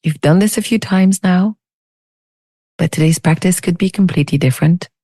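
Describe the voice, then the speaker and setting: A woman leading a guided meditation as a teacher, speaking in a soothing, unhurried, gently inviting way. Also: on the low side, slightly rough, dry recording, clean studio sound.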